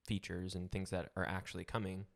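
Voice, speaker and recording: This is a man co-hosting a tech podcast, and his voice sits on the low side. He explains the news casually, trails off, and hedges with "like" and "I guess.". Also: level tone, a little fast, creaky. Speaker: podcast co-host.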